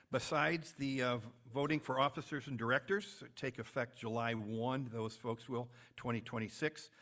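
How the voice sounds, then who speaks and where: A man's voice: medium pitch, fairly bright tone. A man with an American accent reading announcements as host of a club meeting, with informal asides.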